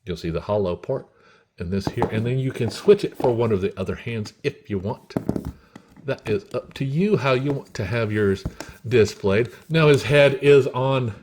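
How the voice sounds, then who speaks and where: A man giving a casual, unhurried product review, talking in short phrases with pauses and repeating himself. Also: fairly low pitch, background noise. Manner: unhurried, repetitive, pausing between short phrases. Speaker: toy reviewer, host.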